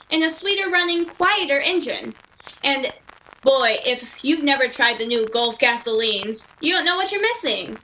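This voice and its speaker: Woman as gee-whiz young male announcer, high-pitched, bright, eager, vintage radio style, slight noise. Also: rough recording. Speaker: sponsor announcer. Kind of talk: 1940s radio acting and sales pitch.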